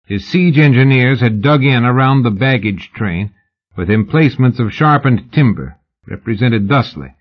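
A man's voice: mid-range voice, expressive, moderate pace, highs cut off, natural voice. A man voicing a boastful old general in an audiobook, speaking in a pompous, oratorical way. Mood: boastful oratory. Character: old foreign general, boastful war hero giving pompous speech.

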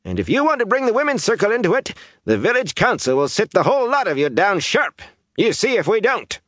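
A man voicing a scrawny, cantankerous old village councilman with a scratchy voice and gruff, blustering manner in an audiobook. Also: on the high side, sing-song, unhurried, no echo, clean studio sound, pitched above the performer's own voice. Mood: gruff, combative.

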